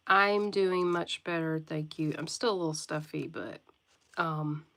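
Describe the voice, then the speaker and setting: Stuffy-nosed woman chatting on a slow live stream. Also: low voice, fairly bright tone. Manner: friendly greetings to viewers by name; occasional coughing and throat clearing. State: stuffy nose from a cold. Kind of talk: casual livestream chat.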